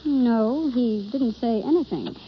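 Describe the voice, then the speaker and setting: A woman's voice: fairly high pitch, expressive, slow pace, a little hiss or hum. An actress playing an awkward, self-effacing young woman in a 1930s radio drama, with hesitant, apologetic delivery. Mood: hurt, apologetic. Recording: dull 1930s radio recording, prominent music behind. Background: loud music.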